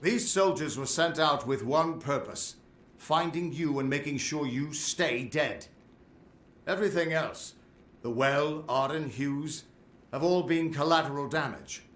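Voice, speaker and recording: A man's voice: a little high, a little slow, fairly bright tone, roomy sound. A man playing a middle-aged, boastful but loyal veteran adventurer in a fantasy game, with a theatrical, earnest delivery. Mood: grave, informative. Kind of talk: fantasy game dialogue acting.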